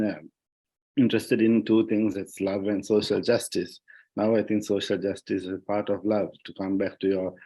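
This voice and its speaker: Reflective, halting Sri Lankan man. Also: on the low side, a little mellow, poor audio. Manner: reflective, halting, searching for words with repeated phrases. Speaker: panelist, journalist and writer. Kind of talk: thoughtful discussion in an online panel. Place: online discussion call.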